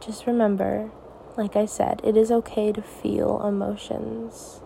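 A teenage girl hosting her own podcast, talking softly and reassuringly in a raspy, tired voice late at night. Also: mid-range voice, a little hiss or hum, low-quality recording. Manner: soft, reassuring, hesitant. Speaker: podcast host. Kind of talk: personal podcast monologue.

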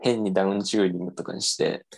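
A man's voice: low voice, steady tone, racing, gravelly, background noise. A young man casually telling a self-mocking story on a podcast, with lots of fillers.

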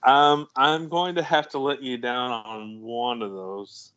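A man's voice: medium pitch, fairly even intonation, slow, fairly bright tone, smooth, lo-fi sound. A man co-hosting a podcast, chatting casually about a film, rambling and restarting his sentences and chuckling at his own jokes. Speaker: podcast co-host.

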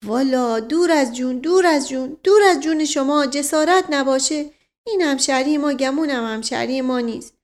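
A woman's voice: sits high, voice pushed up, close to an everyday voice. A woman voicing an anxious, talkative old male rustic servant in an audiobook, with a folksy, wheedling manner. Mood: sheepish. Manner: folksy, wheedling, repeats pet phrases. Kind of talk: audiobook character acting.

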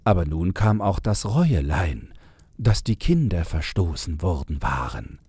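Very deep, husky male storyteller. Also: very animated, warm tone, dry recording, studio quality. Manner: measured, unhurried storytelling. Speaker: storyteller, narrator. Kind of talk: scripted fairy-tale narration.

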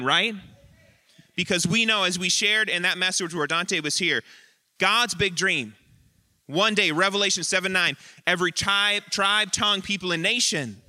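A man with a high, very bright and forceful voice delivers a sermon in short, earnest, emphatic phrases, pausing between lines. The sound is dry and close, with little room around it. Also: no room sound. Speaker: lead pastor. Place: church sanctuary.

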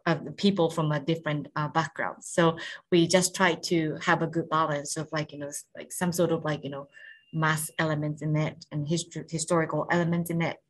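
A woman's voice: sits low, little pitch movement, a little fast, low-quality recording. A woman with a Japanese accent, a native Japanese speaker, talking as an interview guest in a thoughtful way, with many "you know" and "like" fillers. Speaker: guest, space education director. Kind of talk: casual interview conversation.

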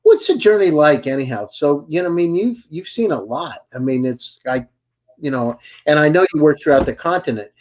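A man's voice: on the high side, lively intonation, brisk, a little mellow. A man hosting an online show, introducing his guest with enthusiastic praise and asking rambling questions. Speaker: host and company CEO.

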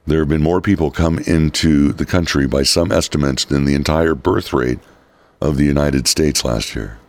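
A male talk radio host with a raspy voice, giving opinionated political commentary. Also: very deep voice, moderate pace, no echo. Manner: opinionated, reads quotes then rebuts them. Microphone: studio microphone.

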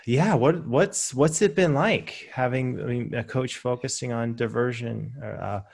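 A man's voice: medium pitch, measured pace, creaky, a little mellow, breathy edge. A man hosting a coaching podcast, introducing his guest with many fillers and answering her with affirming remarks. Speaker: podcast host, coach trainer.

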